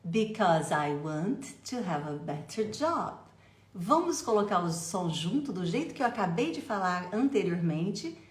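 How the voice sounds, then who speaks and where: A Brazilian woman with a Brazilian accent, teaching English pronunciation in a lively way and slowing down to drill phrases for the listener to repeat. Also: on the low side, roomy sound. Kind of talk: pronunciation lesson.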